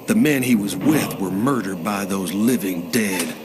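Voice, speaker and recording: A man's voice: on the low side, a little slow, background noise, lightly acted. An actor playing a plainspoken rural American farmer who survived a zombie outbreak, narrating his haunted story for a video game in a folksy way. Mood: steady, weary. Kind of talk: scripted first-person game narration. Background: music.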